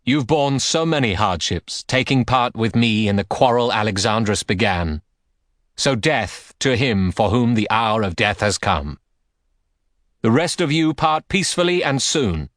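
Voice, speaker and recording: A man playing a wronged warrior king who speaks gravely and solemnly across a battlefield. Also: mid-range voice, animated, unhurried, a bit bright, dry recording, studio quality. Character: aggrieved veteran king calling for oaths.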